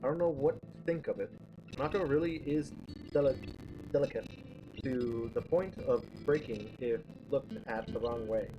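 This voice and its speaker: A man narrating a first-person story aloud, reading haltingly with small stumbles. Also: mid-range voice, dull audio. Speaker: streamer reading a visual novel. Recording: clearly noisy background.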